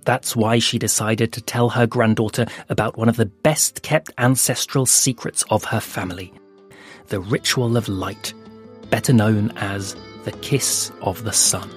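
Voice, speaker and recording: A man narrating an audio fable in a slow, hushed storytelling manner with dramatic pauses. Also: fairly low pitch, steady tone. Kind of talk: scripted audio fiction narration. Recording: soft music underneath.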